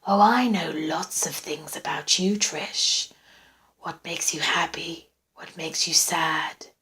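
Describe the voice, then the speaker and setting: A woman voicing a menacing, obsessive male stalker in a soft, breathy whisper, slow and intimate, for a suspense audiobook. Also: medium pitch. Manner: slow, commanding yet gentle, intimate.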